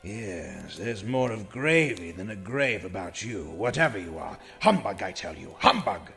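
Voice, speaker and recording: An actor playing a miserly old man, gruff and scoffing. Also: on the low side, sing-song, unhurried, fairly bright tone, heavily acted. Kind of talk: radio play acting. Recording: echoey hall reverb with faint music.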